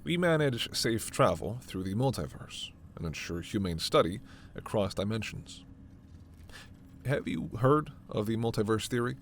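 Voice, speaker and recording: A man playing a formal alien scientist in a sci-fi ASMR roleplay, stern and authoritative yet reassuring, with measured, precise diction. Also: fairly low pitch, expressive, slight background noise. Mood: measured explaining. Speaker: voice actor in audio roleplay.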